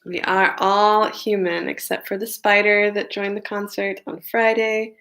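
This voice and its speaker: A woman talks slowly and unhurriedly between songs, her voice sitting at an ordinary middle pitch. She rambles in a chatty, meandering way with plenty of fillers, like a songwriter visiting with listeners at a home concert. A musical instrument is faintly audible behind her. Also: little pitch movement, a bit bright, faint music behind. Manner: rambling and chatty, many fillers and side remarks. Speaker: singer-songwriter.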